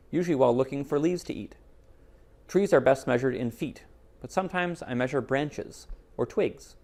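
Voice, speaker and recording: Man as a precise, gravelly storybook inchworm. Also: medium pitch, a little mellow, close to an everyday voice. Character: proud, precise inchworm caterpillar fond of measuring. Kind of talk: children's picture book character reading.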